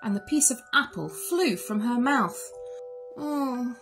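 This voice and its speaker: A woman narrating a children's story aloud in an expressive storytelling style. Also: a little high, normal speed. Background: music.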